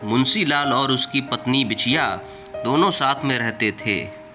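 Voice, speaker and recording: Slow-paced male cartoon storyteller. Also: medium pitch, music in the background. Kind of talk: scripted cartoon story narration.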